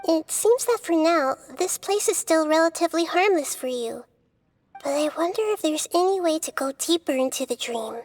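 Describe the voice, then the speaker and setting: A woman playing a small, wise, child-like goddess in a video game, gentle, measured and explanatory. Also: very high voice, animated. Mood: calm.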